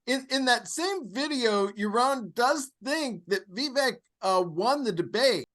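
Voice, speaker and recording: High-voiced male podcast co-host. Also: expressive, a little slow, fairly bright tone. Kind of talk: casual political discussion.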